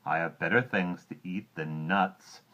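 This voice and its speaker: A man's voice: low voice, lively intonation, moderate pace, muffled recording. A man voicing a greedy gloating crocodile. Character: greedy boastful male crocodile, sly and menacing.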